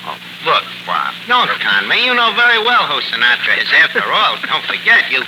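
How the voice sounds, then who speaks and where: Through heavy noise on a 1940s radio recording comes a tavern manager. His pitch is high and swings widely as he wisecracks in slangy, mangled English. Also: wildly swooping pitch, normal speed. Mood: sly banter. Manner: malapropisms, slangy ungrammatical speech. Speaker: host. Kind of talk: 1940s radio comedy acting.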